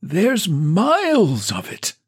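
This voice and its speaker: A man's voice: high voice, wildly swooping pitch, slow pace, breathy edge, pitched above the performer's own voice, heavily acted. A man voicing a frail elderly man who talks in a thin, piping, quavering way. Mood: fond.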